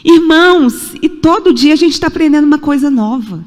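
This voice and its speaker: A woman's voice: high-pitched, animated, brisk, a little mellow. A Brazilian woman in her forties preaching a sermon in a church, emphatic and repetitive, with rhetorical questions. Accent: Brazilian Portuguese. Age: 40s. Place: church sanctuary. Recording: echoey church hall.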